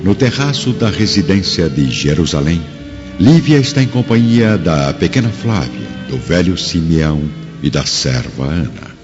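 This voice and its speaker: Low-voiced, solemn male narrator. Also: very animated, measured pace. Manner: solemn, literary. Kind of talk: scripted dramatic narration. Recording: dull recording over music.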